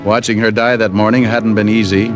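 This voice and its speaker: Actor as low-voiced wry American private eye. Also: male voice, little pitch movement, a little fast, close to an everyday voice. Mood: somber narration. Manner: wisecracking, dry. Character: wry, sharp-witted male private detective. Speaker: lead actor and first-person narrator. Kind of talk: 1940s-50s radio detective acting. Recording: old radio recording with loud music.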